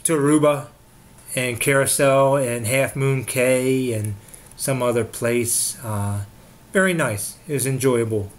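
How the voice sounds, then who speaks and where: A man with an everyday, mid-range voice co-hosts a relaxed sports show. He talks in an offhand way and likes to tease. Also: mid-range voice, a little slow. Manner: teasing, offhand. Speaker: co-host. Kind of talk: casual sports chat.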